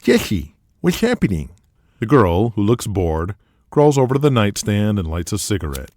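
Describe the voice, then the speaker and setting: A man's voice: fairly low pitch, animated, moderate pace, darkish tone, no echo. A man reading scripted dramatic narration for an audio drama.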